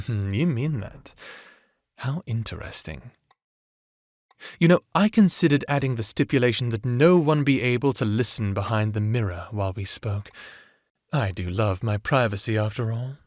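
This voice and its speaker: A man's voice: mid-range voice, normal speed, darkish tone, no room sound, studio quality, lightly acted. A man playing a cruel, manipulative vampire ex in an audio drama, savoring his words with a mocking, taunting drawl. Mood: smug. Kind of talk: dramatic audio roleplay acting.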